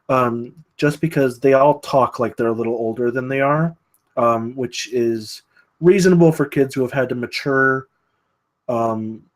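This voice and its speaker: A man giving casual spoken feedback as a table read participant, rambling a little and often stammering over repeated words. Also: medium pitch.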